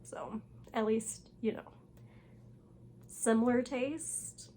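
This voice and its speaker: A young woman with a hoarse voice, still recovering from losing it, chatting casually about books in a vlog and rambling with lots of fillers. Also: fairly high pitch, fairly even intonation, extremely slow, warm tone, slight background noise. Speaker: booktuber. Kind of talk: casual reading vlog chat.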